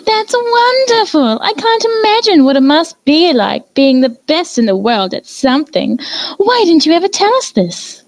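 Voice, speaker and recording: A woman's voice: very high voice, very animated, normal speed, no echo, exaggerated voice, rough recording. A woman voicing a refined, theatrical female unicorn pony with a posh, effusive manner. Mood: delighted.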